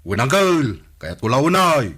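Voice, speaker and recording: A man's voice: mid-range voice, sing-song, slow, a bit bright, exaggerated voice. A man with an Ilocano accent playing a cheerful young villager in a comedy radio drama, who laughs after nearly every remark. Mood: giggly, polite.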